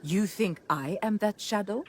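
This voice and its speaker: An actress playing a commanding samurai clan noblewoman in a video game, speaking in an imperious, measured, formal way. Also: mid-range voice, a little mellow, slight background noise, close to an everyday voice. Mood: cool, threatening. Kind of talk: video game cutscene acting.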